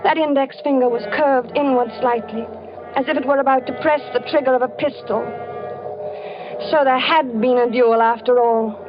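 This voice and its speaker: A woman's voice: sits high, fairly even intonation, normal speed, natural voice. An actress playing a frightened wife who narrates her husband's death with earnest, anxious storytelling in a 1940s radio drama. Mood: somber. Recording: dull vintage radio recording with music.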